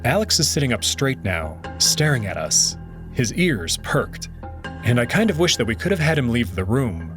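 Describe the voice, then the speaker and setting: A man narrating a visual novel aloud in an expressive way. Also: mid-range voice, normal speed. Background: background music.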